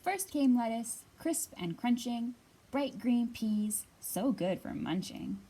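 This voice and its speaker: A woman librarian giving a storytime reading for young children, sing-song and expressive, pausing to ask the little listeners questions. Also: fairly high pitch, a little hiss or hum, poor audio.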